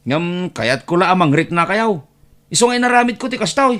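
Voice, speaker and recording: Male actor as put-upon young nephew, Ilocano accent, plaintive, exclamatory, highly animated pitch, audio drama. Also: fairly quick. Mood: hurt, indignant.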